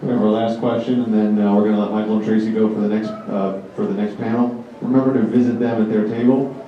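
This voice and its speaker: A man moderates a convention panel at a quick, brisk clip, keeping things moving. His voice sounds dull and far away, swimming in the echo of a big hall. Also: on the low side, level tone.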